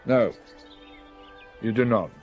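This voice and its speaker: A brooding gentleman speaks with a commanding, sardonic edge, voiced by a man in a radio drama. His mid-range voice is set over soft music. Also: medium pitch, slow, close to an everyday voice.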